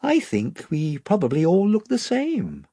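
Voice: medium pitch, huge pitch swings, a little slow, mellow, slightly rough, dry recording, studio quality, voice pushed up, full character voice. Brief: earnest storybook boy, stern and direct, voiced by the narrator. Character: blunt, earnest schoolboy.

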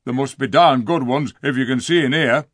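A man voicing a surly, gruff male dwarf who scolds and sneers loudly in a storybook reading. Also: mid-range voice, fairly quick, no room sound, studio quality, natural voice.

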